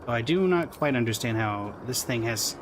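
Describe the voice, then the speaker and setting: A man's voice: medium pitch, expressive, normal speed, slight background noise. A man giving casual live gameplay commentary as a streamer, muttering his tactical thoughts aloud. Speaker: game streamer. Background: loud game music.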